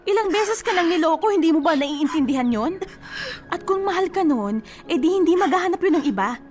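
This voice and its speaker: A woman's voice: very high voice, lively intonation, a little fast, a little mellow. A woman acting a blunt, exasperated young female cousin who scolds and talks in a sassy, colloquial way in a Tagalog radio drama. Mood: exasperated, scolding.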